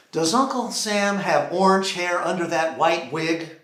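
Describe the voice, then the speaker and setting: American stage monologist, slow and echoey. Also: male voice, sits high, fairly bright tone. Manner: acts out one side of a phone call. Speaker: monologue performer. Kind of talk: theatrical solo stage monologue. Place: theater stage. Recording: roomy, echoing live theater sound.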